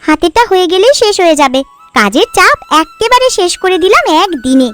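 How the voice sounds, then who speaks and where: A woman voicing a caring, practical village housewife in a cartoon, homely and gently fussing. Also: very high-pitched, big pitch swings, fairly quick, soft background music, exaggerated voice. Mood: content. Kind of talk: cartoon story dialogue.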